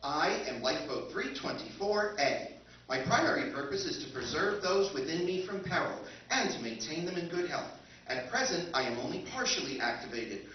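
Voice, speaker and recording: An actor playing a calm, earnest male lifeboat machine that announces itself stiffly, in a live radio drama recreation. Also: on the high side, normal speed, background noise. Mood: formal. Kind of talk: 1950s radio drama acting. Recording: echoey hall, far mic, muffled.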